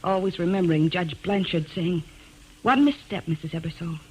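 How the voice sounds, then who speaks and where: A woman's voice: on the low side, fairly quick, breathy edge. An actress playing a defensive, strained young American mother in an old-time radio drama, speaking earnestly. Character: strained, defensive young mother fighting to keep her child. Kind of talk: 1940s-50s radio drama acting. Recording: noisy vintage radio drama recording.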